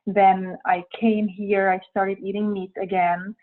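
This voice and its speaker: A young woman with a Czech accent talks through interview answers in a hesitant, thoughtful way, filling pauses with ums and uhs. Her voice sits at an ordinary pitch for a woman. The sound is thin and tinny, like it came through a phone. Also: level tone. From Czech Republic.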